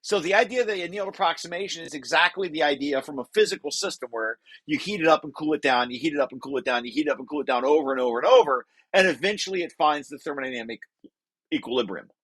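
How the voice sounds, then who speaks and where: A man with a forceful, very bright and slightly high voice talks colleagues through a technical draft. He explains informally, circling back to restate key phrases for emphasis. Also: fast. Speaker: presenter walking through a research paper draft.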